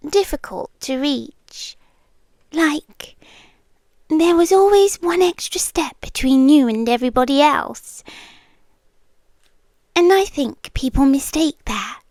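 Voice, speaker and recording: A British woman playing a shy, obsessive yandere girlfriend in an intimate ASMR roleplay, soft, breathy and hesitant, often slipping into a whisper. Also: high-pitched, no room sound, studio quality, full character voice. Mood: tender, curious. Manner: slow, hesitant, confiding. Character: shy, obsessive young yandere girlfriend, sweetly intense and nervous.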